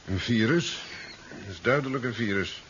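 A brisk laboratory professor in a seventies sci-fi radio drama, played by a male actor, low-pitched and wry, often tagging remarks with a little question. The old recording is muffled and noisy. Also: fairly bright tone, close to an everyday voice. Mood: absorbed. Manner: wry, chuckling, ends remarks with questioning tags. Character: brisk, sardonic male professor in his sea-side laboratory. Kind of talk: 1970s science fiction radio play acting.

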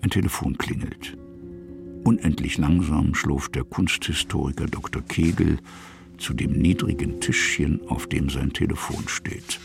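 A man narrating a radio drama in a gravelly, husky voice, musing in short phrases. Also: very deep voice, steady tone, a little slow, music in the background, exaggerated voice.

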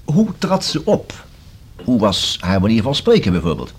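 A male actor in a Dutch radio play as a probing, self-assured police inspector who questions deliberately and dryly, with a husky voice. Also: on the low side, wildly swooping pitch, darkish tone, heavily acted. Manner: deliberate, rhetorical questioning with emphatic repeats.

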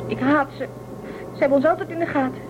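A woman's voice: high voice, steady tone, quick pace, natural voice. A woman playing an anxious, pleading pregnant young space traveler in a radio drama. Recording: dull lo-fi tape with noise.

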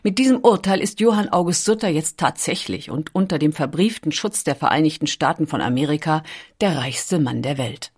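Low, husky female narrator. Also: expressive. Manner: measured, deliberate storytelling with weighty pauses. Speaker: documentary narrator. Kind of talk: scripted dramatic narration.

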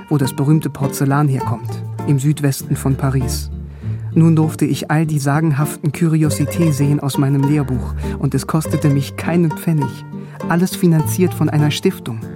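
A man's voice: a little high, natural voice. An actor playing an East German academic who narrates his own story in a radio drama, wry, sober and matter-of-fact.

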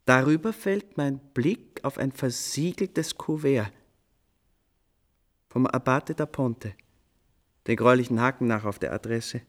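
A male actor plays an impulsive composer with a playful temper, in a German radio drama. He speaks at a middling pitch. His storytelling is animated and ornate, full of old-fashioned turns of phrase. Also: measured pace, pauses often, close to an everyday voice. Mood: lively, wry. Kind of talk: German radio play acting.

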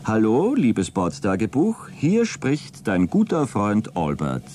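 An actor playing a cynical, fatalistic astronaut in a German radio play, speaking in a sardonic, taunting, theatrical way. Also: male voice, on the low side, huge pitch swings, takes it slowly, dark tone, a little hiss or hum, heavily acted. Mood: mocking, bitter. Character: astronaut Albert, cynical, fatalistic, mocking space crewman.

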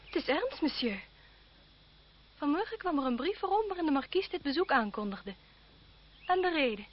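High, lilting, coquettish young noblewoman. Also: sing-song, moderate pace. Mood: proud, defiant. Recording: dull, noisy old radio-play recording.

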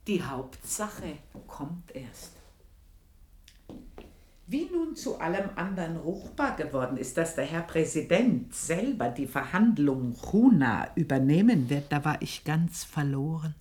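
An actress with an Austrian accent playing a guilt-ridden, vengeful housemaid in a radio play monologue, husky and breathy, confessing with brooding intensity. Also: female voice, low voice, sing-song, measured pace, warm tone, exaggerated voice. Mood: anguished, intense. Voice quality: husky, breathy, often dropping to a whisper.

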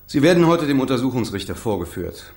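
A man acting a coolly businesslike court-appointed lawyer in a radio crime drama, speaking formally, precisely and in measured phrases. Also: medium pitch, big pitch swings, darkish tone. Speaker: actor playing a defence lawyer. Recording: roomy, echoing interior sound.

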